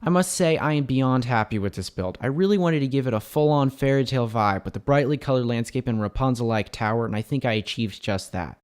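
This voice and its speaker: A man's voice: medium pitch, fairly quick, hardly any pauses, a little mellow, clean tone. A man hosting a hobby building channel, narrating a scripted showcase in an enthusiastic way. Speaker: host of a LEGO building channel.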